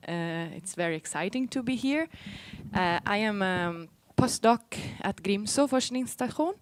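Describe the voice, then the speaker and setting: A young woman from Rome with an Italian accent, giving an informal academic talk and explaining things step by step as she goes. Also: lowish voice. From Rome, Italy. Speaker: postdoctoral researcher giving a seminar. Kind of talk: informal academic presentation to a live and streamed audience.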